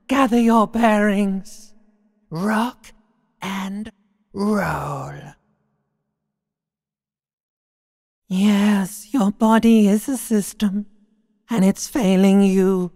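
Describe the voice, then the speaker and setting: A sinister, disembodied inner voice from a video game, sits rather high and drags its words out extremely slowly. It is husky and strained, sinking into whispers, with a theatrical, cruelly tender mockery. A long, cavernous reverb trails behind it. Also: male voice, darkish tone, exaggerated voice. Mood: taunting. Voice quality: raspy, breathy, strained, often dropping to a whisper. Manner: slow, theatrical, mocking, cruelly intimate. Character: Limbic System, a sinister, taunting inner voice of a wrecked body. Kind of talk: second-person video game voice acting.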